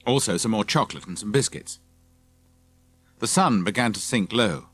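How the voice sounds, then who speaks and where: A man's voice: lowish voice, lively intonation, moderate pace, a bit bright, breathy edge, no echo. A man reading scripted children's story narration as an audiobook narrator.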